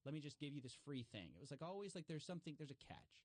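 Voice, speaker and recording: A man's voice: a little high, animated, very fast, a little mellow, no echo. A man talking casually as a podcast guest, explaining and telling stories in a conversational way. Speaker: podcast guest, investigative journalist.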